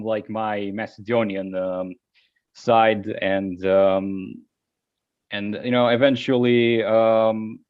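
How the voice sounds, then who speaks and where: Hesitant man, lo-fi audio. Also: mid-range voice. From Serbia. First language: Serbian. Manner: hesitant, many filler words. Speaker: guest. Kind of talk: casual interview answers.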